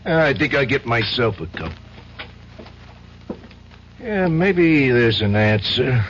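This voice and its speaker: An actor with an American accent playing a streetwise, slangy, loyal but menacing pal in an old-time radio drama, casual and wisecracking. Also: male voice, medium pitch, big pitch swings, slow, fairly bright tone. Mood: quietly scheming. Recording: dull, faintly noisy old radio recording.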